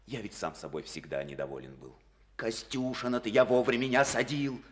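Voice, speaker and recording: A man's voice: medium pitch, brisk, natural voice. An actor playing a tired, self-critical male district party chief who talks in a brooding, wry way in a Soviet radio play.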